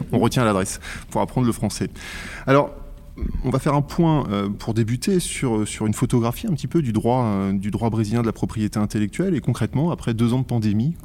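A man hosting a podcast interview, asking polite, measured questions, recorded at a convention center. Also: on the low side, dark tone. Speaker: podcast host.

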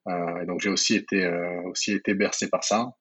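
A man in his thirties from the Paris region talks about his past in a relaxed interview, often pausing on fillers and starting his sentences over. His voice sits low and a little husky. The sound is muffled and lo-fi. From France, Paris region. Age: 30s. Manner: hesitant, many fillers and restarts. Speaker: guest entrepreneur. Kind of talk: casual interview talk.

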